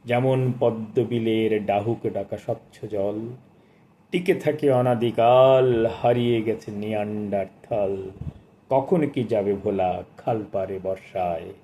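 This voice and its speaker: Mellow older man, measured and literary. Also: mid-range voice, a little hiss or hum. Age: 60s. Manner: measured, expressive, formal literary diction with lingering phrases. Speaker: book reviewer and poetry presenter. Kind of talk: literary book talk with poetry recitation.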